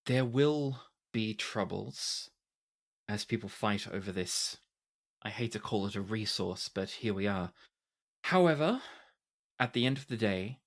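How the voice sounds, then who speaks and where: A man voicing a courteous, grave male hospital aide who speaks formally and with deference for a tabletop game. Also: on the low side.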